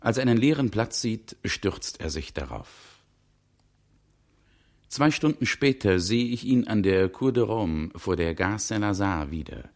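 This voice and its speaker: An actor playing a detached narrator in a 1960s radio drama, reporting in terse, clipped notes. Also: male voice, fairly low pitch, lively intonation, unhurried, darkish tone. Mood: detached, matter-of-fact. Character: detached male narrator reporting a petty bus incident in notes.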